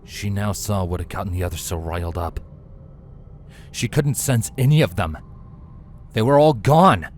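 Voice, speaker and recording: A man's voice: on the low side, normal speed, long pauses, a little hiss or hum. A man reading a scripted fantasy story aloud as a dramatic narrator. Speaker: fan fiction narrator.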